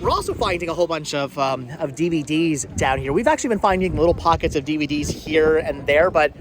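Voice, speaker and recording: Guy in his 40s w a high voice, outdoors w lots of noise, casual vlog chat, stammers and repeats himself, jokey. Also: animated, quick pace. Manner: stammering, repeats words and phrases; jokey banter with companions. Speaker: vlog host. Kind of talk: casual chat, vlog commentary while shopping. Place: outdoor flea market. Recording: noisy outdoor ambience.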